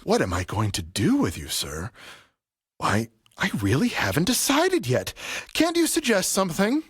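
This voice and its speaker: Audio drama barber: coolly threatening, excessively polite, highly animated intonation, slightly high voice. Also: male voice, breathy edge, no room sound, studio quality, heavily acted. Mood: taunting, controlled. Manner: servile politeness laced with menace, deliberate. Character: vengeful graying male barber, mock-polite, coolly menacing. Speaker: actor. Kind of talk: audio play acting.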